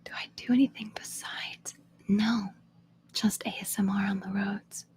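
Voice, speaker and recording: A young woman from Oklahoma, an ASMR host, chatting in a soft whisper with viewers on a live stream, answering their questions and laughing often. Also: mid-range voice, fairly even intonation, measured pace, slight background noise. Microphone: phone.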